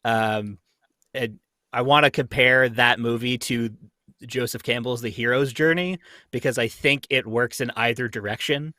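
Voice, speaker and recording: Bright-voiced man in casual fan chat. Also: medium pitch, steady tone, normal speed, no echo, studio quality. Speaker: podcast panelist. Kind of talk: casual chat about movies. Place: remote group livestream.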